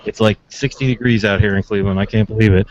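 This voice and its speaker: A man's voice: lowish voice, fairly even intonation, quick pace, darkish tone, slight background noise. An American man hosting a radio show in casual chat, rambling informally through his stories. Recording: dull, lo-fi audio.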